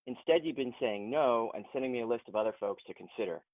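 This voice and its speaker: A man plays a principled, frustrated producer in a modern audio drama, pressing his point earnestly and confrontationally. His mid-pitched voice comes through a narrow phone line with no room sound at all. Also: fast talker, dry recording, lightly acted. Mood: polite, uneasy. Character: frustrated, principled male film producer challenging his boss.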